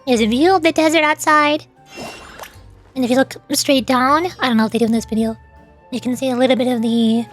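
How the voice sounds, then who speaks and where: Girl streamer w a high voice, chatty n rambly, explains stuff w little playful asides, music behind. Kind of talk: casual rambling live-stream commentary.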